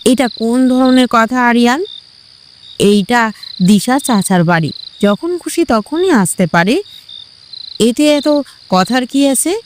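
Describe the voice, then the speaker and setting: A woman's voice: on the high side, expressive, slow pace, pauses often, slight background noise. A woman playing a middle-aged mother in an audio story, reproving and dismissive of complaints. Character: indulgent mother chiding her grown son. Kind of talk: Bengali audio story acting.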